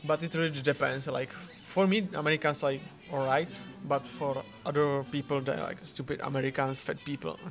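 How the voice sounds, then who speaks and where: A man's voice: medium pitch, steady tone, moderate pace, hardly any pauses, music in the background. A man with a hesitant delivery, searching for his words in English as he answers an interview question in a café. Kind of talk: casual street interview answer.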